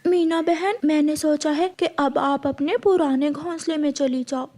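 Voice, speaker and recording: A woman's voice: high voice, steady tone, unhurried, mellow, pitched above the performer's own voice. A woman voicing a naive little boy bird in a cartoon story, with an innocent, earnest way of talking. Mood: hesitant.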